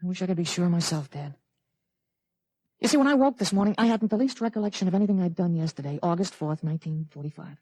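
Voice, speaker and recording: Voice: mid-range voice, lively intonation, fast, darkish tone, no echo, natural voice. An actor playing a thirteen-year-old boy with a grown man's mind, articulate and earnest, in a 1950s radio drama. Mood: grave, recounting. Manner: articulate, earnest, matter-of-fact. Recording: vintage 1950s radio broadcast recording.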